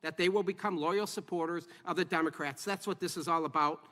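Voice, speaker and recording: Man, politician, high and bright, formal emphatic speech, long echoing hall. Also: fairly even intonation, a little fast. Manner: emphatic, rhetorical questions to the audience.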